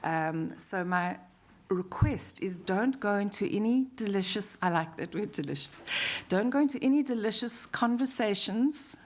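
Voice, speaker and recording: A woman from southern Africa with a South African accent, leading a live workshop in a halting, friendly way with many pauses and fillers. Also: medium pitch, animated. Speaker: workshop facilitator. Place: university meeting room.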